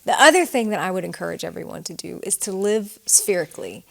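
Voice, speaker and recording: A woman in her forties with a slight Southern American twang, answering interview questions in a thoughtful, measured way. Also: fairly low pitch, expressive, vocal fry. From United States. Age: 40s. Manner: thoughtful, measured, with some fillers. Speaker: guest, author.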